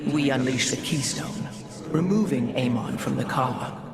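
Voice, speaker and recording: An actor playing a calm, calculating alien strategist in a video game, speaking formally and precisely. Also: male voice, mid-range voice, normal speed, gravelly, lightly acted. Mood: measured determination. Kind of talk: cinematic video game acting. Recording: long reverb, loud music.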